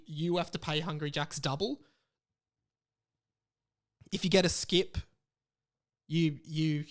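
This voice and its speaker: An Australian man hosting a solo podcast in a casual, rambling and informal way, with exasperated asides. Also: a little high. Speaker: podcast host.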